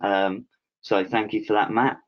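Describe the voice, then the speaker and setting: A man hosting an online Q&A with a panel, reading out viewers' questions in a relaxed way with lots of "um"s. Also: lowish voice, a little slow. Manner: relaxed, filler-heavy, reads out questions. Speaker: webinar host. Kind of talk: casual Q&A moderating. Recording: dull lo-fi call audio.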